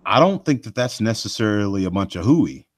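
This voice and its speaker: Deep-voiced rambling man. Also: lively intonation, fairly quick, slightly rough, no room sound, clean studio sound. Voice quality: deep voice that carries loud. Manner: rambling, folksy, with many fillers and asides to listeners. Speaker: host of a cryptid research live show. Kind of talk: casual live podcast chat.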